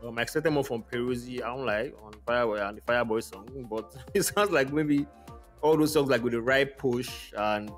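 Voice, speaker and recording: A man's voice: medium pitch, brisk. A man hosting a casual music review chat, speaking in an informal, slangy, opinionated way. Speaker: music podcast host.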